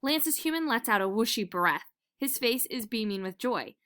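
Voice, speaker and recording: A woman teacher reading a children's book aloud in a dramatic, expressive storytelling manner. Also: fairly high pitch, normal speed.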